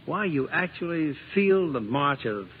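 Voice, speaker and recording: A man's voice: a little high, lively intonation, measured pace. A man hosting a radio drama in a scripted, formal 1950s broadcast style. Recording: muffled, noisy old radio broadcast.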